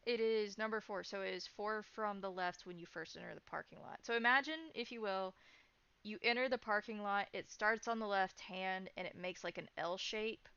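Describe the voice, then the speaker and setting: A woman's voice: mid-range voice, moderate pace, pushed and bright, clean tone, dry recording, lo-fi sound. A woman running a tabletop game, improvising narration and explaining scenes in descriptive detail. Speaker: game master.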